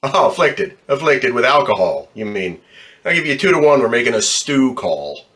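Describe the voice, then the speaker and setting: A man playing a bitter, streetwise, wisecracking ambulance driver in old-time radio style, slangy and gruff. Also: mid-range voice, very animated, normal speed, fairly bright tone. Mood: scornful, impatient.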